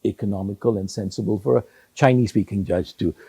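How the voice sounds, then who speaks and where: An older man whose native language is Sindhi, answering interview questions in a measured way with many pauses and restarts. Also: on the low side, gravelly, mellow, husky, no room sound. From Hong Kong. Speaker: retired judge, interview guest.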